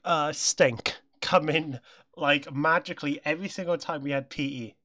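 A young British man with a bright, fairly high voice chats casually as a podcast co-host. He tells anecdotes in a halting way, often stammering and circling back over his words. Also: steady tone.

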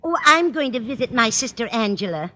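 A scatterbrained, kindly older landlady in a vintage radio comedy. Her voice is fairly high and swings about as she fusses. The old recording is clearly noisy. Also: very animated, a little fast, sharp tone. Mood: muddled, kindly. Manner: absent-minded, fussing, muddled. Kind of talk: 1940s radio comedy acting.

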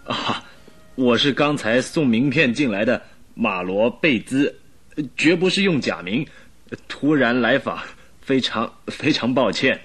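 An actor playing a timid middle-aged butler in a radio drama, hesitant and stammering with an apologetic manner. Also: male voice, mid-range voice, lively intonation, unhurried, a bit bright, slightly rough. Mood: nervous, apologetic.

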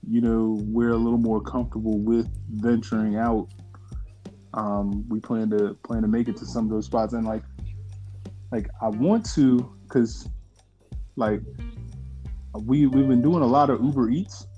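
A man's voice: medium pitch, fairly even intonation, measured pace, pauses often, mellow, lo-fi sound, soft background music. A man in casual podcast chat, rambling with many fillers and restarts.

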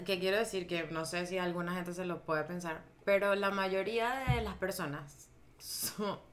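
A woman's voice: lowish voice, steady tone, normal speed. A woman with a Venezuelan Spanish accent, talking frankly and animatedly in casual chat, swearing when riled. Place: lives in the United States.

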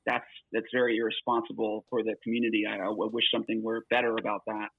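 A man's voice: fairly low pitch, level tone, fast, creaky, breathy edge, no room sound. A man talking as a hiker guest on a podcast, earnest and full of fillers, heard over a remote call. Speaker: guest, long-distance hiker. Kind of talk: conversational interview. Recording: tinny phone line, dry.

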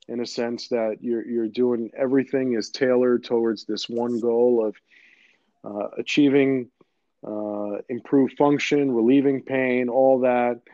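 Surgeon guest from New York, Greek native speaker; warm low tone, dry close sound, explanatory and unhurried. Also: male voice, no echo, lo-fi sound. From Queens, New York. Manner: measured, explanatory, with frequent "uh" and "um". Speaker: orthopedic surgeon, podcast guest. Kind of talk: conversational interview answers.

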